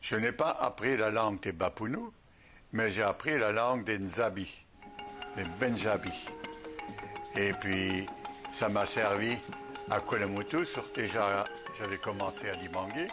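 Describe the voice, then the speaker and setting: An older man from Alsace, a retired missionary bishop, recalling his life slowly with many pauses. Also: lowish voice, music in the background. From Alsace, France. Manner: slow, halting recollection with many pauses. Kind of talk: reminiscing interview.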